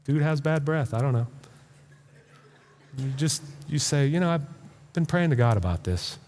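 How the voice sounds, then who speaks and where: A man preaching a conversational sermon as a pastor, informal and emphatic, often halting and repeating words to drive a point home. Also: on the high side, animated, a little mellow, a little hiss or hum. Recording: reverberant hall sound.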